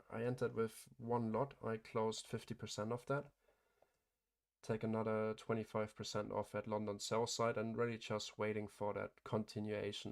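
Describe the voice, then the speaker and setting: Male trading streamer, hoarse and gravelly, flat matter-of-fact delivery, frequent throat clearing. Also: lowish voice. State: hoarse, losing his voice. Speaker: day trader hosting a livestream. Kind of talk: live trading commentary.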